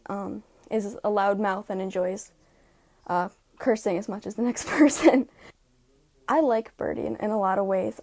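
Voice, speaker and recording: Mellow-voiced woman, informal actor interview. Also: medium pitch. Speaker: audiobook voice actor. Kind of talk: documentary interview.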